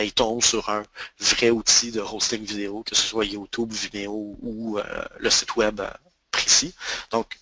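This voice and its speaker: Bright-voiced Quebec French man presenting. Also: on the low side, dry recording. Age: 30s. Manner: hesitant, many fillers and restarts. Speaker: email marketing expert. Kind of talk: webinar presentation.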